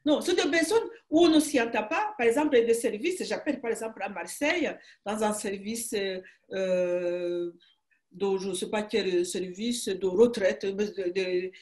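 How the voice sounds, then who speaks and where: A woman in her sixties from Rwanda with a Rwandan accent in French, a writer interviewed over a video call from her home, speaking haltingly and emphatically, often restarting her phrases. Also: medium pitch, darkish tone. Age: 60s. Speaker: author guest. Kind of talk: conversational interview. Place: home room. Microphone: video call webcam.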